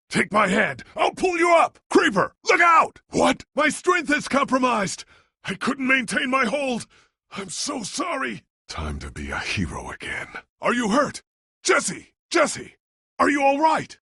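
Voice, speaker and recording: Noble old warrior hero for a video game, gravelly and super dry studio sound, grave and formal, very dramatic. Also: male voice, very deep voice, fairly bright tone, no echo, exaggerated voice. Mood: alarmed. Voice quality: gravelly, husky. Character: noble ageing adventurer hero, solemn and earnest.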